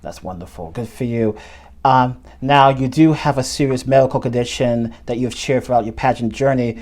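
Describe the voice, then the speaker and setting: A man hosting an interview show, asking questions haltingly and repeating words. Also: medium pitch, darkish tone. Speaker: show host.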